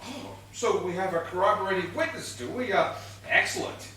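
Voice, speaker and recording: Man playing forceful, high-pitched exasperated police inspector, echoey. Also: animated. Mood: officious. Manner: brisk, dry, officious questioning. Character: exasperated male police inspector in a comic whodunit. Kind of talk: comic stage play acting. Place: stage of a small theatre. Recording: distant echoey theatre recording, faint noise.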